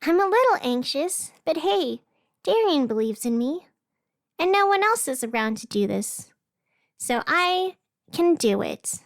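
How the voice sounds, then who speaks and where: A woman's voice: sits high, big pitch swings, a little slow, no echo, studio quality, full character voice. A woman playing a chatty, wry young radio host in a fiction podcast, talking casually in asides. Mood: wry, upbeat. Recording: music behind the voice. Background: music.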